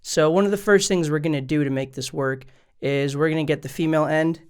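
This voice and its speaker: A man's voice: a little high, fairly even intonation, fairly quick, clear, resonant tone. A man presenting a casual step-by-step tutorial vlog in an easygoing, conversational way, addressing his viewers directly. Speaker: music gear video maker and presenter.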